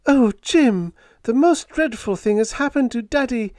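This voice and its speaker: A man voicing a frightened, sharp-tongued young woman whose voice runs high with anxiety. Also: animated, measured pace, no room sound, clean studio sound. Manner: high-pitched, anxious, pleading.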